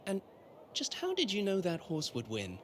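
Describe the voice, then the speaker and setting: An actor playing a friendly, well-bred young prince in an audio drama, speaking in a genteel, effusive way. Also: male voice, high voice, wildly swooping pitch, normal speed, fairly bright tone, slight background noise, heavily acted. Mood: excited. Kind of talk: video game audio drama acting.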